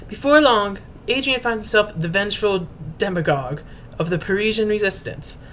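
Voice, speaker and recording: A woman's voice: medium pitch, a little hiss or hum. Pompous woman giving a theatrical reading. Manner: pompous, mock-grand, formal delivery. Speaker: host and reader. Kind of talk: theatrical dramatic reading of fan fiction.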